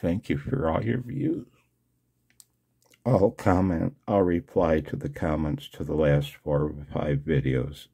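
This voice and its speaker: Older male vlogger, very low and husky, halting confessional delivery, casual and unscripted. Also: dark tone. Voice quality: gravelly, husky. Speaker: amateur radio video blogger. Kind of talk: personal screen-share vlog, casual monologue.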